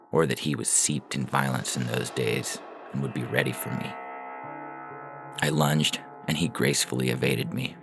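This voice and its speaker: A man reads a first-person story in an extremely low voice with heavy creak. Music plays clearly behind his scripted narration. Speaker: fiction podcast reader and announcer.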